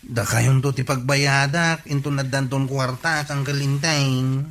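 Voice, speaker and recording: A man's voice: medium pitch, steady tone, a little slow, a bit bright. A man acting a sheepish, pleading villager with a Filipino accent in a comedy radio drama.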